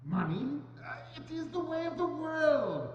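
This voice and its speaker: A man performs a miserly man in a stage reading, defensive and full of regret, his pitch swinging widely. The sound is dull and echoes around a hall. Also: sing-song, unhurried, exaggerated voice. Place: church hall, dramatic reading before microphones. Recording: dull, noisy, echoing church hall.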